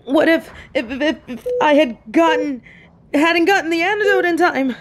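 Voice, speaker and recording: A woman's voice: high voice, moderate pace, lightly acted. A woman acting a fiercely protective female field agent in an audio roleplay, scolding someone she loves in an emotional, emphatic way. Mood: distraught, furious. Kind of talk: intimate dramatic audio roleplay monologue.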